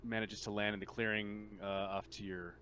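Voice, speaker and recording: A man running a tabletop role-playing game, improvising scene narration in a halting, informal way. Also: on the low side, flat delivery, pushed and bright. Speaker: game master. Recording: noisy room with typing.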